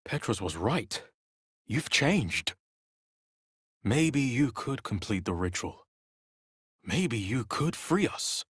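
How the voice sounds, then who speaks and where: A man's voice: mid-range voice, big pitch swings, slow pace, long pauses, a bit bright, husky, no room sound, clean studio sound, exaggerated voice. An actor voicing a scornful, bitter male vampire spawn in a dramatic video game style, sneering in clipped sentences. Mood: hopeful.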